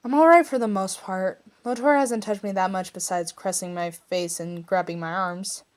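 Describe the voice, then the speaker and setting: A woman's voice: mid-range voice, normal speed, natural voice. A woman voicing a sassy, defiant young male captive hero in a story reading, speaking emphatically. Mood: exasperated.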